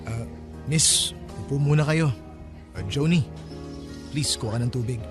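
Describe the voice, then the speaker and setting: A man's voice: mid-range voice, a little slow, music in the background, close to an everyday voice. A man playing a polite but flustered peacemaking eldest son in his late twenties in a Filipino radio drama. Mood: calming, polite.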